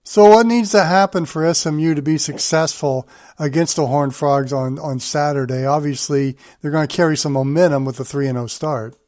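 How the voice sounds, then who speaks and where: Higher-voiced male sports show host. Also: normal speed, darkish tone, dry recording, studio quality. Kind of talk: conversational sports radio hosting.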